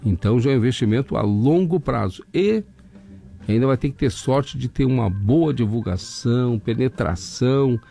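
A man with a southern Brazilian accent hosting an overnight radio show, hoarse from laryngitis, chatting folksily as he reads out listener messages. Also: mid-range voice, expressive, normal speed, dark tone. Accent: southern Brazilian, Rio Grande do Sul. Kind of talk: casual radio chat reading listener messages. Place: radio studio.

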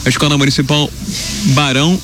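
A man with a Brazilian Portuguese accent, reporting live and relaxed from outside a polling station with long queues. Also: on the high side, brisk, over music. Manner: joking and relaxed, then informative. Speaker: field reporter. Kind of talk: live election-day news report.

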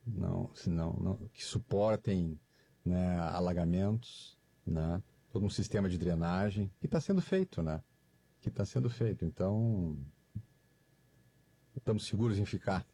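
A man from Brazil, a company guest, answering in halting speech full of fillers. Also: low voice, warm tone, slightly rough. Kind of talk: interview answers.

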